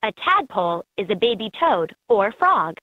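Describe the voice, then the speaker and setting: A woman's voice: mid-range voice, sing-song, normal speed, no room sound. A woman reading scripted narration as the guide in a children's learning game, recorded as lo-fi toy audio. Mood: instructive.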